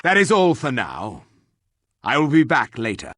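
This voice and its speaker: An actor playing a proud, grim resurrected warrior in a fantasy game, speaking formally and curtly with a sardonic edge. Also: male voice, mid-range voice, huge pitch swings, unhurried, fairly bright tone, dry recording, clean studio sound, exaggerated voice.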